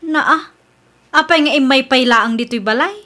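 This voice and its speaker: A woman acting a polite, soft-hearted but firm young single mother in a radio drama. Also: high-pitched, moderate pace. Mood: curious.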